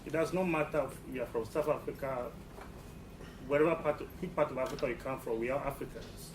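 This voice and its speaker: A man's voice: medium pitch, brisk. A man speaking earnestly from the audience at a press conference, repeating himself and restarting phrases. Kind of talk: spontaneous public comment. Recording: distant mic in an echoey hall with background noise.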